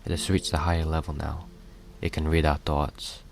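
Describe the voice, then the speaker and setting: A man voicing an unseen cosmic being, reciting a poem in a solemn, measured, deep voice. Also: flat delivery, vocal fry. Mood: solemn, knowing. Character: unseen godlike male being narrating a poem, solemn and knowing. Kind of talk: scripted poetic narration. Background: music.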